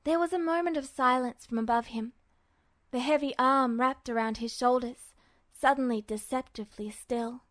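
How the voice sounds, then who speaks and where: High-pitched woman reading fiction. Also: normal speed, fairly bright tone, dry recording, studio quality. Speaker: podfic narrator. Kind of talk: scripted fiction narration.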